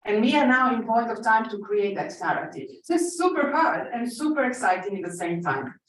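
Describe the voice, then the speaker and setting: A woman from Croatia, speaking as a conference panelist and pausing often on fillers and restarts. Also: on the high side, animated. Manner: hesitant, many fillers and restarts. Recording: echoey room, distant mic, faint noise.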